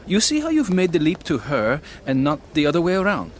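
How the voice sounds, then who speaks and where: Lively, swooping intonation marks a pompous intellectual husband in a radio drama. He is skeptical, articulate and coolly dismissive, with faint ambience behind him. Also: on the high side, fairly quick, slight background noise. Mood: dry, skeptical. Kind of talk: audio drama acting.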